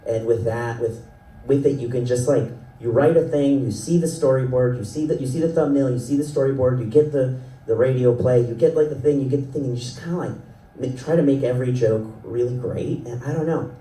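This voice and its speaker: Guy on a festival panel rambling about his career, mellow voice, echoey room, faint hiss. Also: medium pitch, fairly quick. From South Florida, Ecuadorian family. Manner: rambling, self-deprecating, laughs often. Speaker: panelist, animation writer and creator. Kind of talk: panel discussion. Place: event panel hall. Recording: roomy sound from a distant mic, faint noise.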